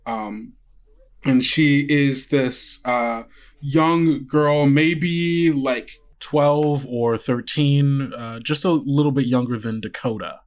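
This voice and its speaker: A man's voice: mid-range voice, takes it slowly. A man narrating a tabletop game as game master, improvising hesitantly in a casual way. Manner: hesitant, frequent "like" and "kind of".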